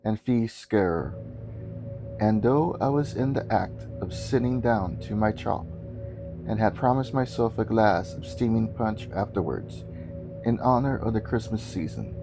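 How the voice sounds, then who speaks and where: A man reading a Victorian ghost story aloud as scripted narration, deliberate and theatrical. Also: lowish voice, lively intonation, creaky, over music, rough recording. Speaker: guest story reader.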